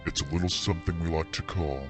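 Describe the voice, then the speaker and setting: A man delivering a dramatic, deliberate mock-epic announcer intro. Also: deep voice, steady tone. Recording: loud music under the voice. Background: loud music.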